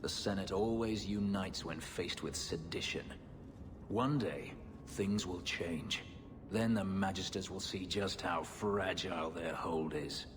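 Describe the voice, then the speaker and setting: A man voicing a brooding, bitter warrior in a video game, speaking in a measured, grave, dryly sardonic way. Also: sits low, long reverb tail, background noise. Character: brooding, bitter male warrior from a slave-keeping empire.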